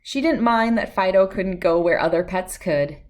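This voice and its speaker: A woman reading a children's picture book aloud in an expressive storytelling style. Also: fairly low pitch, normal speed.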